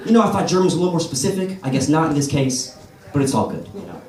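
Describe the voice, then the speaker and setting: A man's voice: on the high side, very animated, fast talker, darkish tone. An American man doing a stand-up set at an open mic, telling stories in a laid-back way and playing to the crowd. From United States. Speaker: stand-up comedian. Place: comedy club stage with a live audience. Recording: roomy club sound from a distance, crowd laughter. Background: audience laughing.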